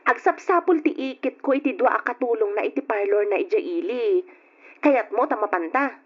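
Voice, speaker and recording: A woman's voice: on the high side, animated, normal speed, breathy edge. A woman playing an eager, helpful young student in a radio drama. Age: young adult. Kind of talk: Ilocano radio drama acting. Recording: dull lo-fi old radio recording.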